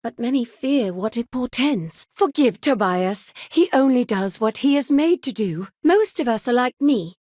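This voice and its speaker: A woman's voice: a little high, lively intonation, measured pace, hardly any pauses, a little mellow, dry recording, studio quality. An actress playing a curious, courteous woman scientist in a science fiction video game, speaking earnestly in formal, old-fashioned phrasing. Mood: grave, formal. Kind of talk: scripted video game dialogue.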